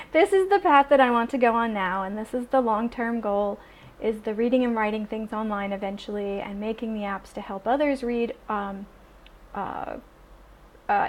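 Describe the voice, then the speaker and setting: A young adult woman chatting casually in a vlog, animated and full of ums and restarts. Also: fairly high pitch. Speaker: vlogger.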